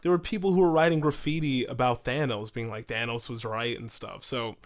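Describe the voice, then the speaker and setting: A man's voice: medium pitch, steady tone, fairly quick. A man chatting casually as a podcast co-host, thinking aloud in a tentative way.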